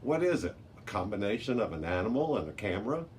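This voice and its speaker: A man's voice: on the low side, sing-song, fast, darkish tone. A man voicing an outspoken, joking young girl in a children's storybook, exclaiming and questioning. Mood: grumbling. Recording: noisy amateur recording with background hiss.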